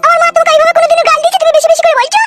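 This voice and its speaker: A defiant young cartoon wife with an extremely high, chipmunk-pitched squeak. She scolds and insists in a rapid-fire, bossy stream over loud music. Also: little pitch movement. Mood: scolding. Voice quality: squeaky, childlike. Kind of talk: amateur comedy cartoon dubbing.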